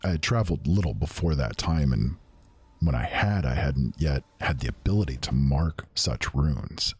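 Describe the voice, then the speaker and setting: A man narrating a first-person fantasy tale in a measured, reflective way. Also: rumbling low, lively intonation, darkish tone, slightly rough, dry recording. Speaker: storyteller. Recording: faint music behind.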